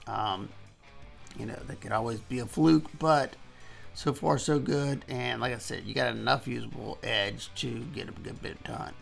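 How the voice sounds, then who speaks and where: A man hoarse from losing his voice, casually talking through a knife review while he demonstrates cuts. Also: mid-range voice, normal speed, faint music behind. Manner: relaxed, folksy, talks while demonstrating cuts. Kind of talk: casual hands-on product review.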